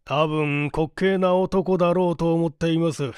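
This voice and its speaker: Guy doing a sheepish mild older-generation dad in an audiobook, slow and smooth, dry close sound. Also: fairly high pitch, steady tone, darkish tone, dry recording, close to an everyday voice. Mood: musing. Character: mild, sheepish gentleman, father of a grown daughter. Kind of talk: audiobook character reading.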